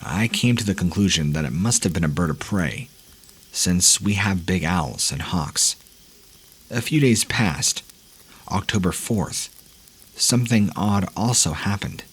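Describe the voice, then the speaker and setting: A man reading listener-submitted scary stories aloud in a measured, ominous storytelling manner. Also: low-pitched, animated, slightly rough. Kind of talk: scripted horror narration.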